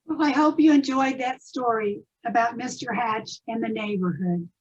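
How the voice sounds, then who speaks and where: A woman introducing children's stories as host, in simple measured phrases to her audience. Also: on the high side, lo-fi sound, a little hiss or hum. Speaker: host and presenter of a readers theatre.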